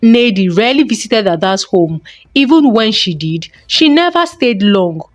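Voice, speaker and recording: A woman's voice: mid-range voice, sing-song, moderate pace, no echo, studio quality. A woman reading a scripted folktale as a storyteller.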